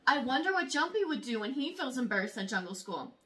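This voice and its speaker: High, very animated woman reading to kids. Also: fairly quick. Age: young adult. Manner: expressive, exaggerated storytelling for young children. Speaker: teacher reading aloud to children. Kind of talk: animated children's picture book read-aloud. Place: small room at home, indoors.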